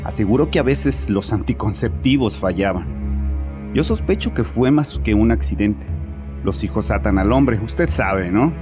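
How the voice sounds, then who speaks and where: A man's voice: on the low side, expressive, moderate pace, natural voice. An actor playing a bitter, anguished man in his late twenties confessing to a therapist in an audio drama, defensive and scornful. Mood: bitter, reminiscing. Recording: music under the voice.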